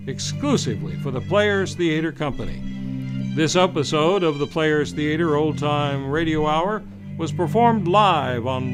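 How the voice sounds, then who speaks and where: A man with a fairly high voice announces in classic old-time radio style over prominent music. His delivery is formal and theatrical, a polished script read out by a showman host. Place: radio studio.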